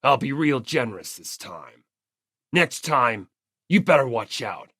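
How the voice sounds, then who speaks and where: A man's voice: mid-range voice, very animated, unhurried, sharp tone, breathy edge, dry recording, studio quality, pitched below the performer's own voice, heavily acted. A man voicing a hot-tempered teenage delinquent gang leader in an audiobook, coarse and intimidating. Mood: menacing. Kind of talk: audiobook character voice.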